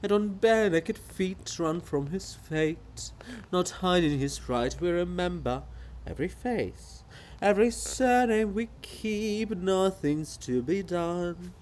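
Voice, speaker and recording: A man voicing a mocking, excitable chorus of gossiping living monuments in a theatrical reading. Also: high voice, takes it slowly, rough recording. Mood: defiant singing. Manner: jeering, excitable, taunting.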